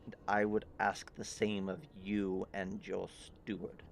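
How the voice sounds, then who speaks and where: A man plays a desperate, proud king in a tabletop game. The voice is low and hushed, held tight in the jaw. The speech is slow, grave and formal, like measured oratory. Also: completely flat delivery, creaky, slight background noise, exaggerated voice. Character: weary, desperate, proud king speaking low through clenched teeth.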